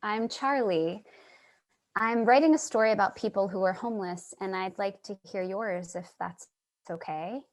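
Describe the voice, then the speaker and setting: A woman's voice: mid-range voice, moderate pace, natural voice. A woman playing an earnest, sympathetic, somewhat naive writer in a staged reading, sincere and a little hesitant. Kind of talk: staged reading with first-person narration.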